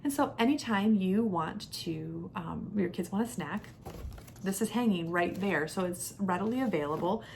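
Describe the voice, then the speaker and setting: A woman's voice: lively intonation, moderate pace, darkish tone. A woman in her thirties giving a casual, conversational product review as a homeschooling mom, explaining things step by step. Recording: clear background noise with low rumble.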